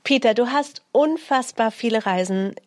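Woman, show host, upbeat, welcoming, fairly high pitch, dry sound. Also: normal speed, dry recording. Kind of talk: show interview hosting.

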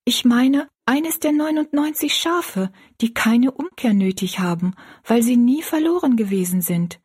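A woman voicing an earnest, pious young girl in a storybook, gently and politely explaining things. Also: fairly high pitch, lively intonation, measured pace, husky, voice pushed up.

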